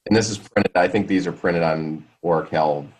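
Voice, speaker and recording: A man giving an informal product presentation on a conference call in a halting, hedging way. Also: sits low, a little mellow, slightly rough, poor audio.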